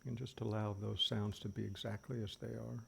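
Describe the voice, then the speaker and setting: Guy doing a slow gentle guided meditation, really gravelly low voice, bit of fan and bird noise behind. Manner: gentle, unhurried, with long pauses. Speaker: meditation teacher. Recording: steady background noise of fans and birds. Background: fans, birdsong and insects.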